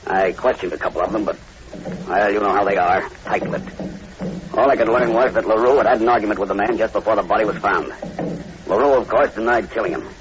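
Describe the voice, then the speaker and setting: Man acting a grim, low-voiced plantation manager. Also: fast, natural voice. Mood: uneasy, foreboding. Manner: earnest, foreboding, clipped. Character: grim, uneasy male plantation manager, long-time islander. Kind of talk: 1940s radio drama acting. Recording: muffled, very noisy old radio recording.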